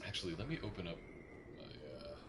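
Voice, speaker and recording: A man's voice: deep voice, sing-song, fast talker, noisy background. A man casually commentating live gameplay as a streamer, playful and thinking aloud. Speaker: game streamer. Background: video game music.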